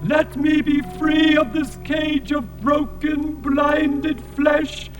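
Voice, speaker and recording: A man voicing an ancient, blind, crippled alien god, pleading solemnly in a drawn-out incantation, with long reverb and music. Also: very high-pitched, steady tone, full character voice.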